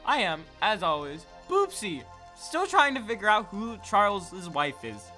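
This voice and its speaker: A woman's voice: mid-range voice, sing-song, measured pace, bright, forceful tone, faint music behind. A woman voicing a cheeky, mischievous audio-drama co-host with a playful, naive manner. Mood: impish.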